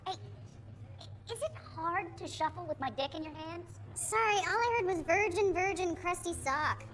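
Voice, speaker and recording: Cocky female gamer, high voice, lively swings, sharp tongue, brash comebacks, comedy film. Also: moderate pace. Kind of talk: scripted comedy film acting.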